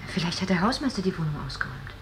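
Low-voiced blunt friend amid noise. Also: female voice, sing-song, rapid-fire. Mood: blunt, practical. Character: blunt, practical female friend giving sobering advice. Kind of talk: radio drama acting. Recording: noisy background ambience.

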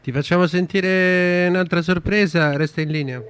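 A man's voice: high-pitched, steady tone, moderate pace, a bit bright, clean tone. A man hosting a casual radio interview, putting informal questions to his guest. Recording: radio with crowd murmur behind. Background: crowd.

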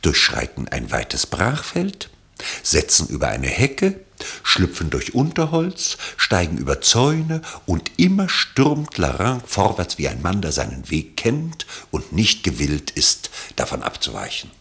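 Deep, husky male narrator, expressive. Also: unhurried. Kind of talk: scripted literary narration for radio play.

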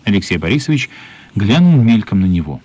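Narrator for children's radio play: low-pitched, slightly rough voice, measured literary storytelling. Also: male voice, sing-song, a little mellow.

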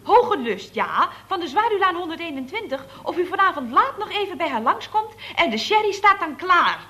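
A woman's voice: extremely high pitch, big pitch swings, a little fast, pushed and bright, exaggerated voice. An actress playing a chatty, cheeky female secretary at a building firm in a 1960s radio play. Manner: gossipy, sing-song phone manner.

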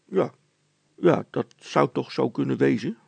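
A down-to-earth handyman from a storybook, voiced by a male reader, with a very creaky, husky voice. He is polite, practical and plainly reassuring. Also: mid-range voice, unhurried, a little mellow, no echo, exaggerated voice. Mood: worried, practical. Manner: matter-of-fact, reassuring. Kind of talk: children's storybook character acting.

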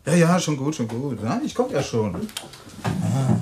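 A man's voice: medium pitch, very animated, a little slow, background noise. An actor playing a teasing male friend, chummy and joking, hesitating with little fillers. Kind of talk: radio play acting.

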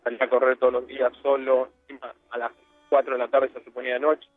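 A man's voice: medium pitch, completely flat delivery, fast talker, dry recording, narrow, tinny audio, soft background music. A young man from Argentina with an Argentine Spanish accent, telling his story as a guest in a casual interview, rambling with many fillers. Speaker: guest footballer.

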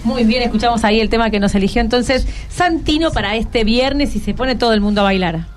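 Higher-voiced Argentine woman hosting radio. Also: brisk, music in the background. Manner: prompting, encouraging questions. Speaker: radio host interviewing schoolchildren. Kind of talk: casual conversational radio interview. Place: radio studio.

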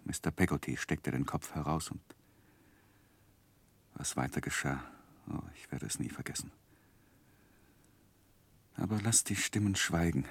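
An actor playing a grown man haunted by his past, narrating gravely and haltingly in a 1960s German radio drama. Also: extremely low pitch, exaggerated voice. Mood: anguished, grieving.

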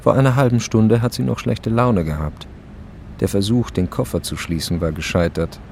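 An actor playing a dry, detached storyteller, giving understated literary narration in a radio drama. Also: male voice, lowish voice, moderate pace, vocal fry, darkish tone, a little hiss or hum. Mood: wry. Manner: dry, understated, matter-of-fact.

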